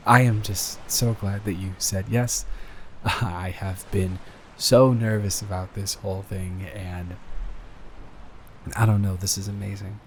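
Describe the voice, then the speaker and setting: Man acting a low-voiced, smitten, nervous boyfriend. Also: creaky, slight background noise, rough recording. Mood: elated, relieved. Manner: halting, stammering, earnest and tender. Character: sweet, smitten, nervous boyfriend proposing to partner. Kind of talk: improvised intimate boyfriend roleplay audio.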